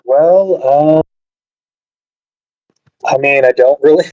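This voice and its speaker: A man's voice: fairly high pitch, takes it slowly, dull audio. A man speaking as a podcast guest, hesitant and self-mocking, with frequent ums and restarts. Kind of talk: casual group chat.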